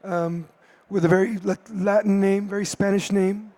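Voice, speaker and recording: A man speaking on a conference panel, his voice hoarse from a cold and pitched well above most men. He talks hesitantly and often restarts his phrases. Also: flat delivery, normal speed, mellow. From United States. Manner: hesitant, many fillers and restarts. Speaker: artist on a panel.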